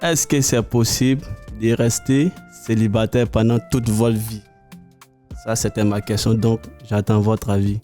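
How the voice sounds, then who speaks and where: A man's voice: on the low side, level tone, measured pace, music in the background. A young man from the Ivory Coast, a vocational student, asserting himself in a classroom debate. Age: young adult. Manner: assertive, moderating.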